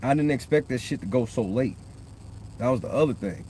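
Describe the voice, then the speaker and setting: A man's voice: mid-range voice, animated, brisk, a little mellow, slight background noise, poor audio. Man chatting from a parked car. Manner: loose, profane, rambling talk. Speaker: livestream host. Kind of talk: casual livestream chat. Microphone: phone on the dashboard.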